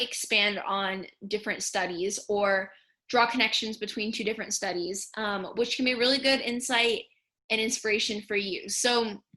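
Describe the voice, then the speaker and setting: Bright-voiced young woman teaching casually. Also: on the high side. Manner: chatty, lots of "um" and "like". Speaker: speech and debate student coach. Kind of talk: casual slideshow lesson.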